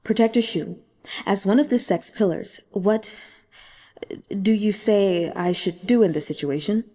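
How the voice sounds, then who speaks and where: A woman's voice: medium pitch, measured pace, warm tone, lightly acted. A woman voicing a proud, haughty male sect leader in an audiobook, stiff and disdainful.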